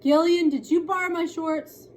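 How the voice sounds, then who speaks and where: A woman's voice: extremely high pitch, steady tone, moderate pace, voice pushed up. A woman voicing a fretful, quarrelsome girl in a whiny, accusing way for a storybook. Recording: clearly noisy room recording.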